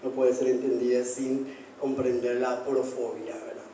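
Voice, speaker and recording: A man from Paraguay, an anthropologist giving reflective academic remarks on a panel, pausing on fillers. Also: mid-range voice, monotone, mellow, slight background noise. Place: large hall, book presentation. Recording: echoey hall from far off.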